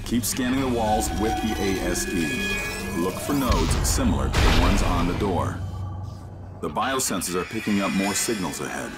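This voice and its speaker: An actor playing a calm male mission handler, giving steady instructions in a video game. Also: low voice, moderate pace. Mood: guiding. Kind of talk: video game cutscene voice acting. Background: game score music.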